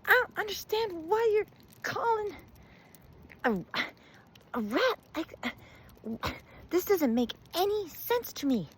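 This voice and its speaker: A small storybook rat voiced by a woman storyteller speaks up in a high, swooping voice. He is wounded and indignant, protesting with emphasis as he pleads his case to humans. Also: sing-song, slow, pauses often, heavily acted. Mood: puzzled, protesting. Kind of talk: oral storytelling.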